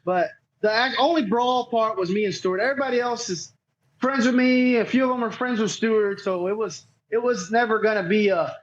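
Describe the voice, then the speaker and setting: A man's voice: sits high, fairly quick, lo-fi sound. A man chatting casually as a podcast guest, telling stories in a slangy, sweary, unpolished way. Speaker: podcast guest, cornhole player. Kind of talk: casual chat, storytelling.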